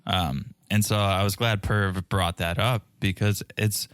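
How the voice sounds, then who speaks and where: Low-voiced joking man, dry close mic. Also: steady tone, unhurried, a bit bright, dry recording. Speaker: podcast co-host. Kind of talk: casual chat recapping a reality show.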